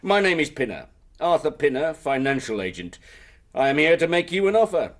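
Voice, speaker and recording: A smooth-talking financial agent for radio drama. The voice sits a little high. He makes grand, boastful offers in florid, persuasive patter. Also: very animated, fairly quick.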